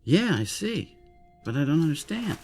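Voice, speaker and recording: An actor playing an affable, slightly uneasy young suitor in a radio drama, by turns bantering and earnest. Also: male voice, a little high, big pitch swings, moderate pace, darkish tone, soft background music. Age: young adult. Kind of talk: radio drama acting, naturalistic dialogue.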